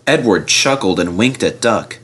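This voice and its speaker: A man narrating a children's storybook in an expressive, scripted reading style. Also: fairly low pitch, measured pace, breathy edge.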